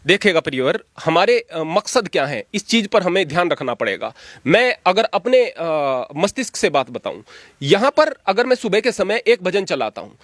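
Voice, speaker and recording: High-pitched man, emphatic preacher. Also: very animated, normal speed, dry recording, studio quality. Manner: emphatic, exhorting, repeats phrases for stress. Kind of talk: spoken opinion, preaching in an interview.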